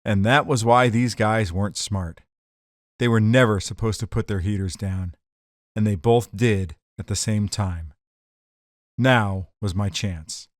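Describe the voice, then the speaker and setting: A man's voice: on the low side, measured pace, no room sound, close to an everyday voice. Hard-boiled gangster tough guy, dry close recording man acting. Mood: wry narration. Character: tough, wisecracking 1940s gangster gunman on the run. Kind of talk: noir detective radio drama acting and narration.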